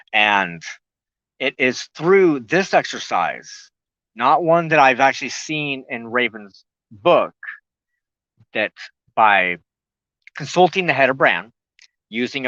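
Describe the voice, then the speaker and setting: A man giving an informal spoken teaching with personal reminiscence, halting, with frequent pauses and throat clearing. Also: mid-range voice, a bit bright. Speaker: host of a spiritual broadcast. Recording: muffled, echoey room recording.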